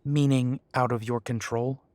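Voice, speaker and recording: A man's voice: mid-range voice, fairly even intonation, a little slow, darkish tone, no echo, lightly acted. An actor playing a defiant young male werewolf who answers the council with dry, mocking politeness. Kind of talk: audio drama acting.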